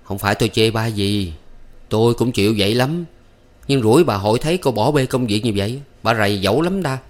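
A man's voice: medium pitch, lively intonation, brisk, natural voice. A man voicing a timid, lovestruck farmhand in an audiobook, hesitant and plaintive, with a southern Vietnamese accent.

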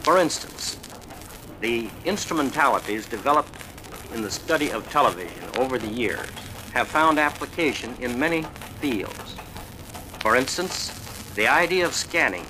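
Man, formal explanatory talk, deliberate, mid-pitched, noisy archive recording.

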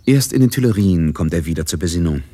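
An actor playing a composed, knowing narrator in a radio drama, delivering literary narration in a measured, wry way. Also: male voice, low-pitched, lively intonation, mellow. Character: knowing, composed male narrator of a society novel.

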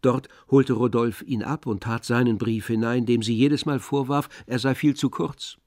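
A man playing the composed, observant narrator of a literary radio drama, with measured delivery. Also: mid-range voice, fairly even intonation, darkish tone, dry recording, clean studio sound, natural voice.

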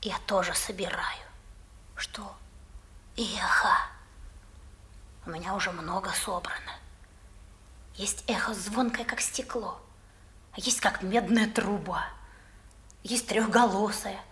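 A woman's voice: mid-range voice, fairly even intonation, slow pace, pauses often, a bit bright. An actress playing a bold, secretive, prickly young girl in a 1960s radio drama, blunt and childlike in delivery.

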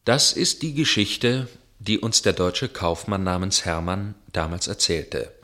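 A low-pitched gentleman storyteller from a radio play. He is inquisitive and suspicious. His delivery is formal and measured, with a probing edge. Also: expressive, heavily acted. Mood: grave, reflective. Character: inquisitive gentleman narrator, suspicious dinner guest with probing temper. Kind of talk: German radio play narration and dialogue.

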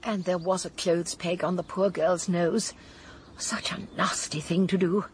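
Radio mystery role: elderly English lady sleuth played by an actress, genteel, gently persistent, slight noise behind. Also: fairly low pitch, fairly even intonation, a little slow, lightly acted. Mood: gently probing. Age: older. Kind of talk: British radio drama acting.

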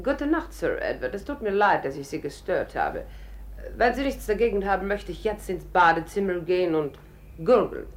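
A woman's voice: fairly low pitch, lively intonation, moderate pace, lightly acted. An actress in a 1950s German radio play voicing an effusive, chatty and bold tourist lady. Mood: innocent, chatty. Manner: effusive, theatrical. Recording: noisy old radio recording.

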